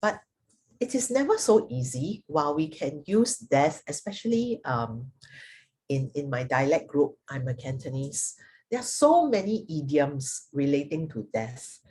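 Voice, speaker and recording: A middle-aged woman with a Singaporean accent giving a reflective personal talk, speaking deliberately and haltingly with thoughtful pauses. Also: low-pitched, very animated, dark tone, breathy edge. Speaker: guest speaker sharing personal experience.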